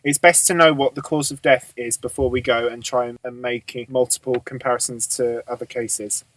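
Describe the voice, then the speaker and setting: Police boss, male actor, measured and authoritative, amateur radio drama, mid-pitched, very dry recording. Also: fairly even intonation, fairly bright tone, dry recording, close to an everyday voice, poor audio. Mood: thoughtful, measured. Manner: measured, matter-of-fact, authoritative. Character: businesslike male police boss briefing his detectives.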